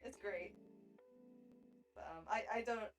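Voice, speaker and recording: A woman's voice: fairly high pitch, slow, soft background music. A woman from Scotland with a mild Edinburgh accent, chatting casually on a livestream, giggling often and stammering over her restarts. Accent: mild Edinburgh Scottish. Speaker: streamer.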